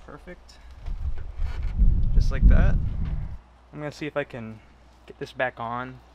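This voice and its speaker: A man's voice: medium pitch, measured pace, dull audio, very noisy. A man giving casual, offhand hands-on how-to commentary, half muttering to himself as he works. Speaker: DIY vlogger installing a CB radio.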